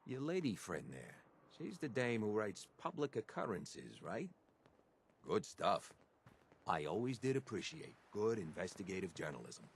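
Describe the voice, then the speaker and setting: A man's voice: low voice, big pitch swings, unhurried, a little hiss or hum, full character voice. Hardboiled old-time townsman. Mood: approving. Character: wisecracking old-timey townsman. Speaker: game character.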